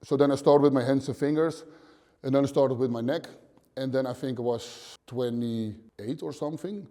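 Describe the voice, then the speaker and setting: A Dutch man in his thirties, a gym owner answering interview questions bluntly in short, halting phrases, seated in a dim gym. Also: mid-range voice, dark tone. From Netherlands. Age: 30s.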